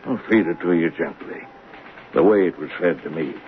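An actor playing an earnest, shaken train conductor in a 1970s radio drama, sometimes hesitant. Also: male voice, low voice, moderate pace, close to an everyday voice. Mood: narrating. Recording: tinny, hissy 1970s radio recording.

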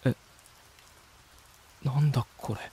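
A man's voice: slow, dark tone, slight background noise, lightly acted. An actor as an earnest, polite male reporter. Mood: puzzled, amazed. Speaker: voice actor narrating as lead character. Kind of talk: first-person voice drama narration and dialogue.

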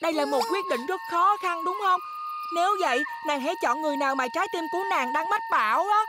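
A very high, youthful voice for a haughty cartoon boy prince. He orders people about imperiously and is smitten like a lovestruck child. Loud music plays behind him. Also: fast talker. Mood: earnest, pressing. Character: haughty, love-struck young boy prince. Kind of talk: Vietnamese cartoon dub.

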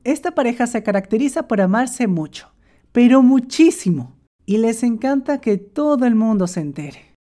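Woman narrator for a cartoon; sardonic, joking, warm low tone. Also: animated, normal speed, faint music behind. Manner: sarcastic, playful asides. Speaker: animator narrator. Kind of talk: comic scripted narration.

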